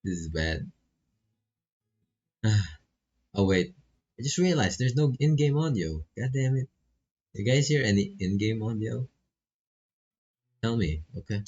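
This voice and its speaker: A man's voice: lowish voice, expressive, unhurried, pauses often, a little mellow, slightly rough, highs cut off. A man doing laid-back, casual live commentary on a visual novel, reading text aloud and swearing freely as he reacts.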